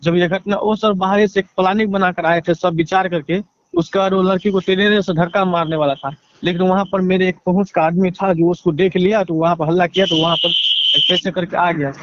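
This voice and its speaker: A man with a Bihari accent giving a halting, deferential account in a phone interview, leaning on repeated filler phrases. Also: sits high, steady tone, a little hiss or hum. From Bihar, India. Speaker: interviewee, relative seeking justice. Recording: dull lo-fi phone call.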